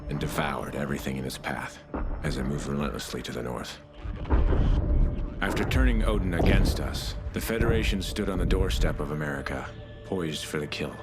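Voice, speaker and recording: An actor playing a grave, determined young soldier, solemnly and deliberately narrating his war. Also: extremely low pitch, expressive, loud music behind the voice. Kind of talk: dramatic scripted narration and military dialogue.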